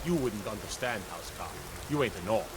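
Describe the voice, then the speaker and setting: An actor playing a blunt, awed city guard in a fantasy video game, telling of legends with emphasis. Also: male voice, lowish voice, animated, fairly quick, background noise, close to an everyday voice. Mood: insistent. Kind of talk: video game character dialogue.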